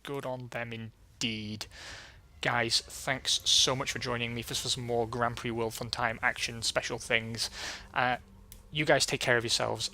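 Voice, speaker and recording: Bright-voiced man, voice going hoarse. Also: mid-range voice, a little slow, a little hiss or hum. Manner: relaxed and chatty, reading out results. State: losing his voice, clearing throat. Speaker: let's play host. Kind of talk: casual gameplay commentary.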